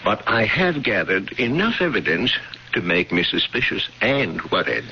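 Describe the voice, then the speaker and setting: An actor playing a learned, cautious witchcraft professor with formal, deliberate speech in an old-time radio drama. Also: fairly low pitch, very animated, pushed and bright, exaggerated voice. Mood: grave, suspicious. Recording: muffled, noisy old radio broadcast.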